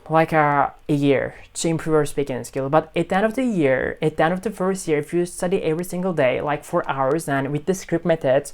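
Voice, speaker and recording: A woman's voice: sits low, clean tone. A woman from Turkey whose first language is Turkish speaks English with a Turkish accent, talking straight to her viewers in an earnest, encouraging way. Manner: earnest, encouraging, repeats "okay?" and "you know". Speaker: English-learning video creator. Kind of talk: casual talk to camera.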